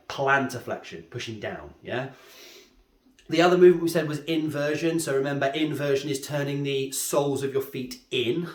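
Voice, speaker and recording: Man, congested with a cold, anatomy lesson at a board, roomy echo. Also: mid-range voice. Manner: keeps checking in with a questioning "yeah?". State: stuffy from a head cold. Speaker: anatomy teacher. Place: room with a drawing board. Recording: echoey room.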